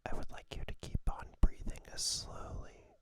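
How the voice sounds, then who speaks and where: A person whispering a guided meditation and body scan for ASMR, soft and breathy, with gentle, soothing instructions. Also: moderate pace. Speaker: ASMR host guiding a meditation.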